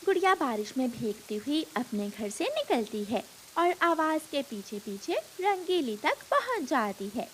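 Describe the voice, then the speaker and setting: A woman's voice: sits high, sing-song, unhurried, hardly any pauses, slight background noise. A woman narrating a scripted children's cartoon story.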